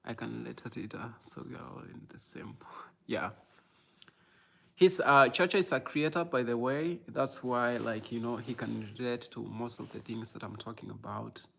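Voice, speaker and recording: Creaky-voiced Kenyan man chatting casually. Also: mid-range voice, normal speed, pushed and bright. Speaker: content creator and livestream host. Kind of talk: casual livestream chat answering viewers.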